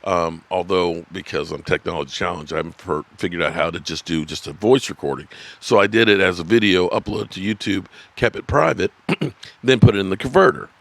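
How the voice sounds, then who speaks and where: Man, 50s, sports live-stream host. Rambly and salty, laughs with the chat. Low voice, very lively pitch. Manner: rambling, swearing, laughing at viewers' comments. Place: at his desk, indoors.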